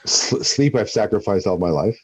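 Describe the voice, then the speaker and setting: Deep-voiced man musing in an interview. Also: animated, normal speed, slightly rough. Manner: rambling, repeats himself while thinking. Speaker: guest, actor and former fighter. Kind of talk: relaxed interview talk.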